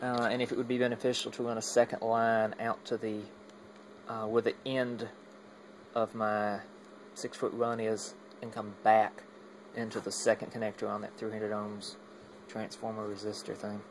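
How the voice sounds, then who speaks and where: Man, even intonation, creaky voice, hesitant rambling hobbyist demo, casual, humming noisy background. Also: on the low side, normal speed. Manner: rambling, hesitant, trailing off mid-thought. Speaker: amateur radio hobbyist demonstrating equipment.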